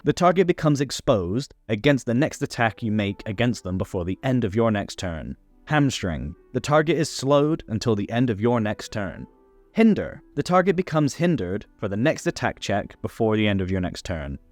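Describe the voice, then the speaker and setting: Male explainer host, animated intonation, scripted rules tutorial, music underneath. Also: lowish voice, moderate pace, dry recording. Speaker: host of a tabletop game rules series. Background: background music.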